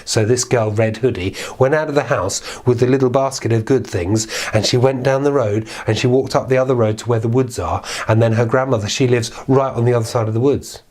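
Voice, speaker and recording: A man's voice: medium pitch, a little fast, hardly any pauses, a little mellow. A man telling a children's story in an informal, improvised way, chatty and playful, with repeated phrases.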